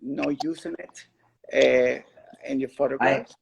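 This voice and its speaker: Creaky-voiced male interviewer with background noise. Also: mid-range voice, steady tone, takes it slowly. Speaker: interviewer, gallery curator. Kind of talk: casual live interview questions. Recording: clearly noisy, with clicks.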